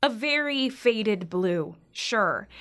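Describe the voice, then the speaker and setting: An actress playing a self-conscious, anxious young eldest sister with an American accent, in a naturalistic modern audio drama. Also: female voice, medium pitch, animated, extremely slow, a bit bright, full character voice. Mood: exasperated. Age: young adult. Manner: self-deprecating, earnest.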